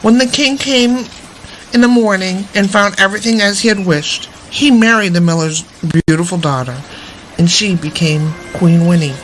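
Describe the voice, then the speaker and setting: A woman's voice: medium pitch, moderate pace, a little hiss or hum, poor audio. A woman storyteller reading a fairy tale aloud expressively. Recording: music behind the voice. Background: background music.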